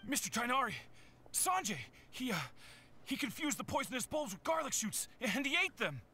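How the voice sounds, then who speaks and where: A woman's voice: high voice, lively intonation, moderate pace, husky, full character voice. An actress playing a panicked townswoman in a game, calling out urgently for help. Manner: urgent shouting.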